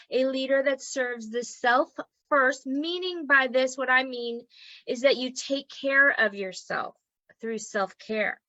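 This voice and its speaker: Woman teaching a group online, voice kinda worn out, bright and a bit high, dry muffled sound, keeps saying okay?. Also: no room sound. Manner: chatty and encouraging, frequent "okay?" check-ins. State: losing her voice. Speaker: human design teacher.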